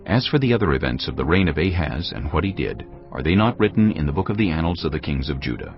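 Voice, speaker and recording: A male narrator reading scripture in a measured, grave storytelling manner. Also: very deep voice, lively intonation. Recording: dull lo-fi sound over cinematic music. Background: cinematic music.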